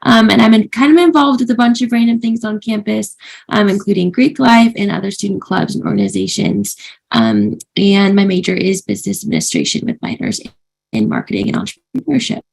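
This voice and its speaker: A young woman student from Washington State with a gravelly, husky voice full of vocal fry. She introduces herself casually, pausing on fillers as she goes. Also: mid-range voice. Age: early 20s. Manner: relaxed, many fillers. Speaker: student panelist.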